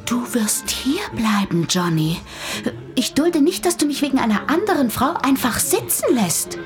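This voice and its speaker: An actress playing a sharp-tongued, possessive woman in a German radio play, speaking in a teasing, pointed way. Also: high voice, big pitch swings, moderate pace, over music. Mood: possessive, jealous. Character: sharp-tongued, possessive female companion of a doctor.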